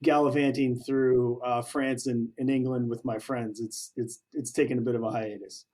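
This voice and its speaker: Co-host, male, mid-pitched voice, loose chatty delivery. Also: level tone, moderate pace, dark tone. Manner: chatty, loose, with many fillers and restarts. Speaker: podcast co-host. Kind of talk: casual banter.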